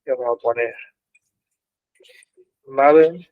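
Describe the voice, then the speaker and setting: A man teaching an online web development class, explaining code slowly with frequent pauses and fillers. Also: medium pitch, dry recording, phone-quality sound. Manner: slow, halting explanation with many pauses and fillers. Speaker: programming instructor. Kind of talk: live online coding class. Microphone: computer mic in an online class.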